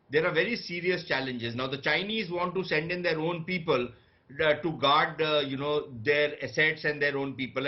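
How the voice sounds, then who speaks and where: A man with an Indian accent giving off-the-cuff political analysis on a news panel, pausing often as he goes. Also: fairly high pitch, highs cut off.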